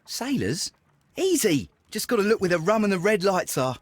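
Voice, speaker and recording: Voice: mid-range voice, sing-song, normal speed, no room sound. A performer voicing a cheeky, cocky young street urchin boy in a video game. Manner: clipped, cocky street talk. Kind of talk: scripted video game dialogue.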